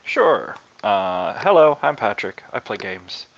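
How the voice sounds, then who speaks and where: A man with a fairly low voice plays in a tabletop roleplaying game and chats in a relaxed, joking way, pausing on fillers. His voice is dry and dull, with no room sound. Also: creaky, no room sound. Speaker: player. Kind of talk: casual chat.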